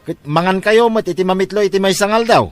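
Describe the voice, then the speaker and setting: An actor playing an abusive, scheming relative in an audio drama, with a scornful, brusque way of talking. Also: male voice, high-pitched, quick pace, natural voice. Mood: dismissive. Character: abusive, lazy, scheming male relative who mistreats elders. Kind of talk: Ilocano audio drama acting.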